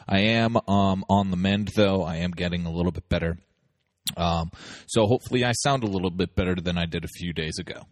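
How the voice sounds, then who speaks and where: A man's voice: low-pitched, brisk, no echo, clean studio sound. A man hosting a casual solo podcast while getting over a cold, talking loosely with many ums and uhs. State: recovering from a cold. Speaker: podcast host.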